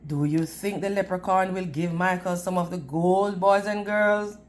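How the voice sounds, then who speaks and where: A woman reading a storybook aloud in an expressive, teacherly way, putting questions to young listeners. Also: on the low side. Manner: deliberate, teacherly, addressing children. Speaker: children's read-aloud storyteller.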